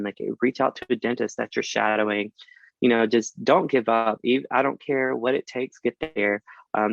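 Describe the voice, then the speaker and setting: A man in his twenties from Alabama, a dental student guest in a casual interview, talking in a relaxed, halting way with many fillers. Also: mid-range voice, gravelly, no room sound, lo-fi sound. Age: 20s.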